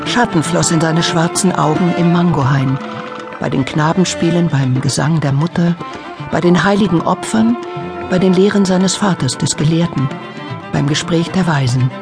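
A woman narrating a literary radio drama in a solemn, lyrical, measured manner. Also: deep voice. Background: music.

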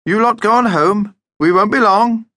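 A man voicing a patient mother in a storybook, coaxing gently and practically with brisk firmness. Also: high voice, dry recording, voice pushed up.

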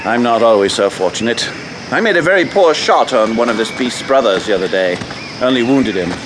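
An actor playing a seasoned, gruff big-game hunter with a dry, wry, blunt manner in a radio adventure drama. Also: male voice, medium pitch, lively intonation, brisk, natural voice. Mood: dry, assured. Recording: noisy outdoor sound effects behind.

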